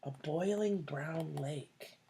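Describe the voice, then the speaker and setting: A woman reading a children's storybook aloud like a teacher, deliberately, pausing to explain and put questions to young listeners. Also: low voice, creaky, dark tone, a little hiss or hum. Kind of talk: expressive storybook read-aloud with asides and questions.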